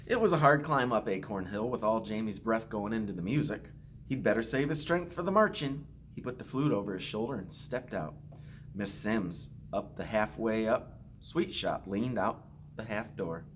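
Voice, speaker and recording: A man's voice: fairly low pitch, expressive, moderate pace, a little hiss or hum. A man reading a children's storybook aloud expressively, with playful asides. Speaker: school staff member reading aloud to children.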